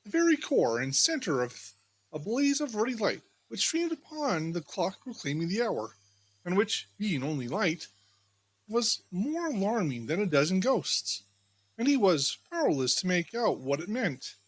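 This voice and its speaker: A woman storybook reader giving scripted, expressive audiobook narration of a classic tale. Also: mid-range voice, a little slow, breathy edge, rough recording.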